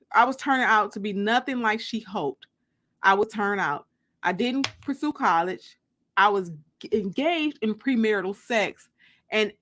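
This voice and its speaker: A woman giving a dramatic first-person audiobook reading in an expressive, conversational storytelling manner. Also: on the high side. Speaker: author reading their novel aloud.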